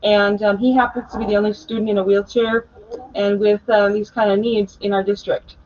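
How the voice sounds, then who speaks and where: Female teacher, informal and encouraging, average pitch, muffled video-call sound. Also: flat delivery, a little fast, a little mellow. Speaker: special education teacher presenting. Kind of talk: casual presentation on a video call. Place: indoor school room, on a video call. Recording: dull webcam video-call audio.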